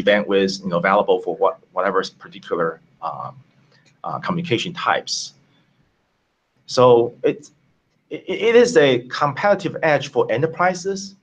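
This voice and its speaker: A man from Taiwan, a tech company founder, answering interview questions over a video call in a halting way with many fillers. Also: fairly low pitch, husky, muffled recording.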